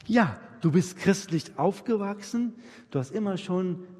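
A man's voice: sits high, expressive, a little slow, a little mellow. A man leading an interactive church workshop, coaching his audience emphatically, repeating himself and often checking that they follow. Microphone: microphone for a live recording. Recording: reverberant hall with long echo.

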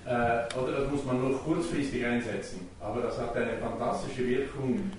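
A man speaks up from the audience, far from the microphone in a very echoey room. He is halting yet insistent as he makes his point off the cuff. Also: medium pitch, fairly even intonation, darkish tone, a little hiss or hum. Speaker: audience member, herbal therapy advisor. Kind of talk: unscripted question from the audience.

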